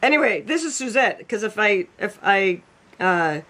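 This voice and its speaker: A man's voice: high voice, very animated, moderate pace, pushed and bright. Chatty self-deprecating man on a video call. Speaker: cooking show host. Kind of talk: casual chat. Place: home room by a fireplace.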